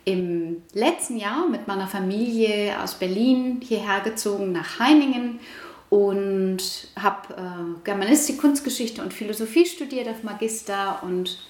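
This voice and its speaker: An Iranian-born woman in her forties speaks at a middling pitch, measured and reflective, often pausing and restarting her sentences. The recording has a roomy echo around her voice. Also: a little mellow. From Iran. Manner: thoughtful, many fillers and restarts. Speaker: interviewee, housing project resident. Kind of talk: casual interview answers.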